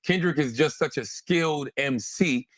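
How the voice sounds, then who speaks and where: Emphatic man with a higher-pitched voice. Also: normal speed, no echo. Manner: emphatic, repeats phrases for effect, swears casually. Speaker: host breaking down rap lyrics line by line. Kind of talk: animated conversational commentary.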